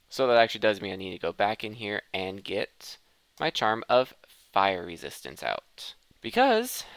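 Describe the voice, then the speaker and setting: A man's voice: medium pitch, fairly bright tone, smooth, no echo. A man giving casual gameplay commentary, rambling and thinking aloud as he plays. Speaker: gaming video host.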